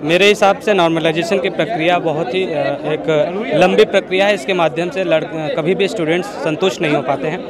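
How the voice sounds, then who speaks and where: A man's voice: fairly high pitch, fairly even intonation, brisk, few pauses. A man who teaches at a coaching institute, speaking earnestly in a street interview. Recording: crowd noise outdoors.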